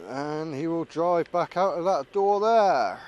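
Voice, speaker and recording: A man's voice: a little high, takes it slowly, darkish tone, lo-fi sound. A man giving casual, musing running commentary as a vlogger flying a drone, thinking aloud as he goes. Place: outdoors.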